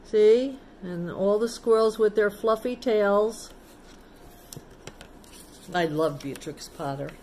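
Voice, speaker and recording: A woman's voice: mid-range voice, takes it slowly, long pauses, a little mellow, slight background noise. A woman storyteller reading a picture book aloud to children, adding simple explanatory asides in a casual, child-directed way.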